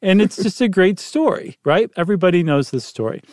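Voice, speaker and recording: A man's voice: high-pitched, animated, brisk, darkish tone, no echo, studio quality. A man co-hosting a podcast, chatting casually with jokes and rambling asides. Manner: joking, rambling, playful asides. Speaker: podcast co-host. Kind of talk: casual chat.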